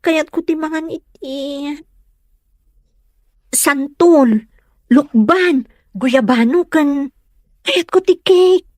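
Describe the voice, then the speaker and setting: A woman's voice: sits high, extremely slow, pauses often, darkish tone, no echo, clean studio sound. A woman playing a young pregnant wife who is needy, sweet and hesitant in a comedy audio drama. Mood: craving, coaxing.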